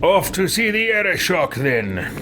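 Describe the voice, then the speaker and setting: A man's voice: high voice, sing-song, unhurried, very noisy, voice pushed up. A man voicing a haughty, menacing evil sorcerer in improvised video game roleplay, theatrical and imperious. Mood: scheming.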